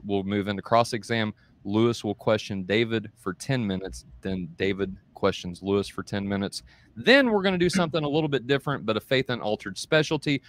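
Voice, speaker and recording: A man's voice: fairly low pitch, no room sound. A man in his thirties hosting and moderating a debate on a video call, upbeat and folksy. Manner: upbeat, folksy, says y'all. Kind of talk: casual show hosting. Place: home room with bookshelves. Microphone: headset and desk mic on video call.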